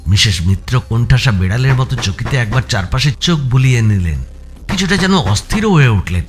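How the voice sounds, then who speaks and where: A man narrating a suspense thriller in a dramatic, expressive audio story style, with music behind him. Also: mid-range voice, a little fast. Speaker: narrator reading a first-person thriller.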